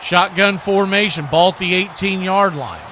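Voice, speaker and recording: An American man calling live football play-by-play in excitable, clipped phrases. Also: sits high, moderate pace, slight background noise. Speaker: play-by-play announcer.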